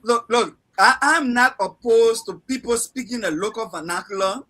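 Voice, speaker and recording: Insistent husky-voiced Liberian man. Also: extremely high pitch, moderate pace, pushed and bright. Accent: Liberian English. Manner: insistent, repeats phrases, talks over others. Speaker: debate panelist. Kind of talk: heated panel debate.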